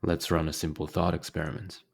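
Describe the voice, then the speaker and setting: A man leading a guided meditation as a teacher, speaking calmly and deliberately with frequent pauses. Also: low voice, vocal fry.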